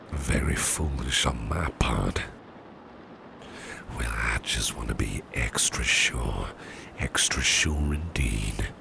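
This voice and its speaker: A man playing a doting, protective businessman boss with a sly edge in an ASMR roleplay, gravelly and breathy at times, with genteel, old-fashioned phrasing. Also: a bass voice, background noise, exaggerated voice. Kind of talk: ASMR roleplay, soft personal attention.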